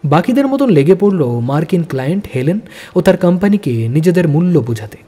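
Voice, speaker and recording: A man's voice: high-pitched, big pitch swings, fast, mellow. A man narrating a spy thriller audio story in a dramatic, suspenseful manner.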